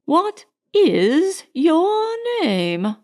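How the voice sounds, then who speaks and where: Woman playing a slow, haughty elderly grande dame. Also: very high-pitched, very animated, voice pushed up, exaggerated voice. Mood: severe. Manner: haughty, drawn-out, emphatic. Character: condescending elderly upper-class lady, severe and haughty.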